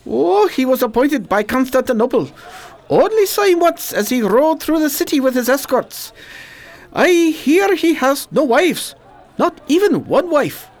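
Performer acting a male bazaar shopkeeper, foreign accent, broken English, talkative, very lively pitch, radio drama. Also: unhurried, exaggerated voice. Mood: explaining. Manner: broken English, short emphatic repeated phrases.

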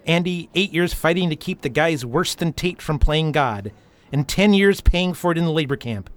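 A man's voice: fairly high pitch, lively intonation, moderate pace, lightly acted. A man playing a weary, bitter war veteran turned detective in an audio drama, hard-bitten and sardonic but tender underneath. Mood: bitter, grieving.

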